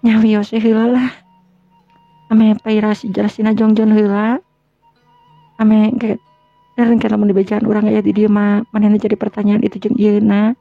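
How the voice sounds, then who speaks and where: A woman's voice: mid-range voice, monotone, normal speed, creaky, pauses often, no echo, natural voice. A woman with a Sundanese accent playing a considerate young wife in a conversational, slightly hesitant radio-drama style. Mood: thoughtful. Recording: dull lo-fi recording with music.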